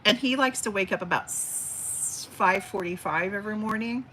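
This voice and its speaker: Bright-voiced woman in her forties chatting. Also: medium pitch, moderate pace, a little hiss or hum, poor audio. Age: 40s. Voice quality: big teacher voice. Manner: chatty and affectionate, frequent fillers and restarts. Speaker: livestream host. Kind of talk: casual livestream chat reading comments. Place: at home by an open window, birds outside.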